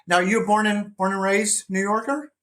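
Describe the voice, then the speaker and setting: A man's voice: sits high, steady tone, normal speed, a bit bright. A man hosting a career podcast, asking friendly questions in an upbeat, encouraging way. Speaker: podcast host and interviewer. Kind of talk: conversational interview.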